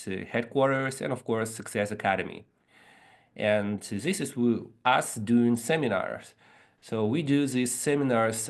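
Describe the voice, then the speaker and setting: A man in his thirties whose first language is Russian, giving an earnest motivational seminar talk over a video call, measured and pausing often. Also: mid-range voice. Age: 30s. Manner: earnest, measured, with frequent pauses and fillers. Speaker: seminar speaker.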